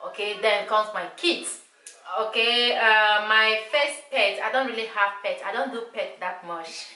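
A woman's voice: medium pitch, measured pace, sharp tone, slight background noise. A woman in her thirties with a Nigerian accent, whose first language is Igbo, chatting casually to camera in a small room and repeating herself often. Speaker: vlogger. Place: small bright room indoors. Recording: echoey room.

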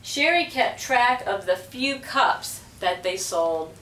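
Middle-aged woman, storybook reader: unhurried, animated, roomy distant-mic sound. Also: a little high, slight background noise. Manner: measured, expressive storytelling. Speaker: school principal reading aloud. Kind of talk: storybook read-aloud. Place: small indoor room with block walls. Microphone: distant camera microphone. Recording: roomy, distant camera microphone.

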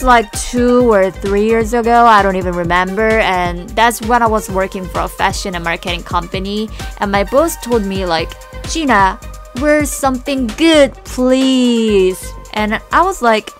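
A woman's voice: medium pitch, a bit bright. A young woman from South Korea, speaking English as a second language, chatting candidly to a handheld camera on a city street. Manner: chatty and candid, with small asides to herself. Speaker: vlogger. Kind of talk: casual vlog talk to camera. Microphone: camera at arm's length. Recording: background music under the voice.

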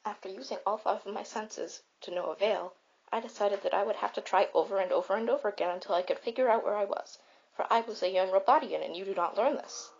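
A woman playing a caring but blunt, self-important robot in a comfort roleplay, speaking in a deliberate, declamatory way. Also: medium pitch, creaky, slightly rough. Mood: solemn storytelling. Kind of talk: comfort roleplay monologue with storytelling. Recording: slightly dull, raw home recording.

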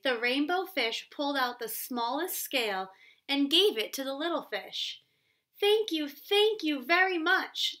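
Slow, bright-voiced young woman teaching children. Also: fairly high pitch. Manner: deliberate, explanatory, checks in with "right?". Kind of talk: children's read-aloud lesson. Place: small room at home, indoors.

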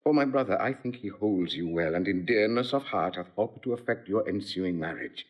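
An actor playing a cold, scheming nobleman, measured and insinuating, in classical Shakespearean style. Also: on the low side, lively intonation, lo-fi sound. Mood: formal, insinuating. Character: cold scheming nobleman villain, slandering brother. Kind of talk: classical Shakespearean audio drama acting.

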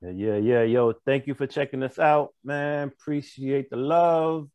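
A man's voice: mid-range voice, unhurried, darkish tone, smooth, dry recording. An American man co-hosting a podcast, talking casually with plenty of slang. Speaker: podcast co-host.

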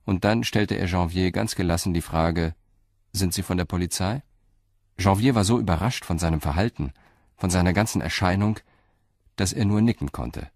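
A man narrating a crime story in a measured, sober and deliberate way. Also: low voice, a little mellow, no echo, studio quality.

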